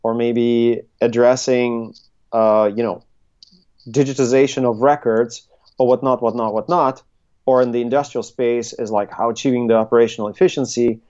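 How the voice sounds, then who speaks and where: A middle-aged man, speaking conversationally as an interview guest and haltingly thinking his way through his answers. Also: mid-range voice, steady tone, a little mellow, highs cut off. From Russia, Soviet Union. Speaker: interview guest, tech consultancy CEO. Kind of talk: conversational interview, recounting career.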